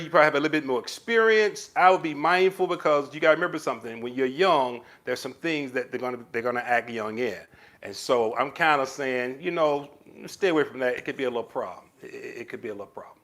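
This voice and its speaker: Высокий, очень живой мужской голос. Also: male voice, on the high side. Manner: animated, addresses viewers with pet names like baby. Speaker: livestream host answering viewer comments. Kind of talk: casual live Q&A giving relationship advice.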